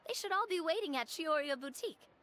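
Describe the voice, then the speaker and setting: A bubbly, playful young woman speaks in a high, lively voice full of swings. She giggles often as she talks. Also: fast talker. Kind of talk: video game dialogue.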